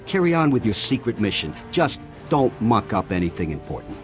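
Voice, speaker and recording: A sarcastic, dismissive colonel. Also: male voice, fairly low pitch, big pitch swings, a little fast. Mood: irritated, dismissive. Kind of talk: video game character acting. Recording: game dialogue over ominous music.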